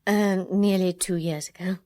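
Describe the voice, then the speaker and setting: An actress playing a bitter, guarded young woman in a radio drama, with an English accent and a hesitant, halting way of confiding. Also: on the low side, steady tone, a little mellow, lightly acted. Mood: guarded, confessing. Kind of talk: full-cast radio drama acting.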